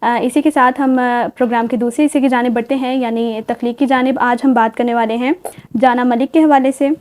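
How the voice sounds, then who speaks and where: A woman with a fairly high voice hosts a literary discussion. She speaks politely and shows appreciation as she guides the programme along. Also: steady tone, a little fast, darkish tone. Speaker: talk show host.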